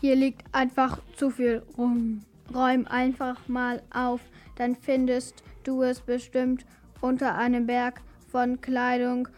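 A female performer playing a stern, matter-of-fact household robot in a children's radio play, instructing in a deliberate way. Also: fairly high pitch, monotone, darkish tone. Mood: stern, instructive.

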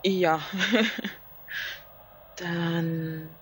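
A woman's voice: fairly low pitch, little pitch movement, drawn-out words, a little hiss or hum. A woman speaking casually as a contestant in an audio mystery game, asking questions and announcing her moves.

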